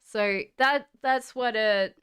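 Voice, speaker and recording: Slow, smooth Australian-British woman. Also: a little high, fairly bright tone. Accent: Australian mixed with British. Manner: chatty, reads viewer comments aloud, laughs often. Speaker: tarot reader. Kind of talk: live-stream tarot reading. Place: home in the UK.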